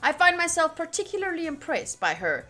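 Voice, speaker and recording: A woman's voice: extremely high pitch, fairly quick. A woman streamer reading visual novel text aloud with casual, playful commentary. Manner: animated, playful, exclaiming. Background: game music.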